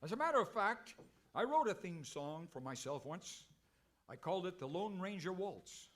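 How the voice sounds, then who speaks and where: An actor playing a vain, easily flustered cowboy hero in an old time radio comedy. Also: male voice, high-pitched, big pitch swings, moderate pace, fairly bright tone. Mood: indignant, vain.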